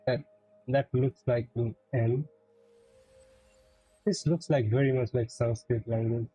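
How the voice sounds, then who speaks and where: A man's voice: medium pitch, steady tone, slow, mellow, breathy edge, no room sound. A man casually commenting live as a game streamer, on a dull, dry mic. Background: game music.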